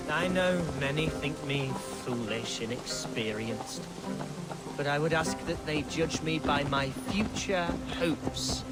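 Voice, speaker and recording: An actor playing an earnest, tipsy young Saxon nobleman, eager and wordy in a dramatic video game style. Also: fairly high pitch. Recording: music behind the voice.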